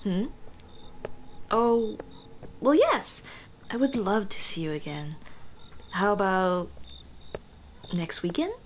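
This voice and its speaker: A shy, teasing dullahan girl played by a woman in an intimate ASMR romance roleplay. She speaks slowly and softly, hesitating and often sinking toward a whisper. A light noise sits behind the voice. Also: medium pitch, lively intonation. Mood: warm, eager.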